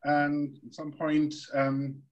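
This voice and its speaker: A man's voice: on the high side, monotone, takes it slowly, highs cut off. Swiss man telling his career story. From Switzerland. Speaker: guest. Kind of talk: conversational storytelling.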